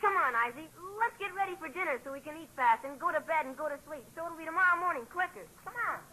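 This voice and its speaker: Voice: very high voice, fast talker, bright, forceful tone, rough recording. A performer playing an eager, trusting young boy in 1940s radio comedy-drama, wide-eyed and insistent, full of boyish slang. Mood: hopeful. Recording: 1940s radio broadcast recording.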